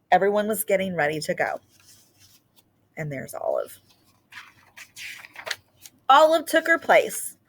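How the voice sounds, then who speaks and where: Woman reading a children's picture book aloud. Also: mid-range voice. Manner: animated, drawn-out emphasis on key words. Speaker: read-aloud storyteller.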